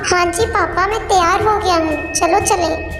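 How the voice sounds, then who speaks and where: A woman's voice: extremely high pitch, fairly even intonation, moderate pace. A woman voicing a grown bird son in a children's cartoon, with a blunt, declarative way of talking. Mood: obedient. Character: grown bird son, dutiful at first, then ungrateful. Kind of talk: Hindi children's cartoon dubbing. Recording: echoey hall reverb, music underneath.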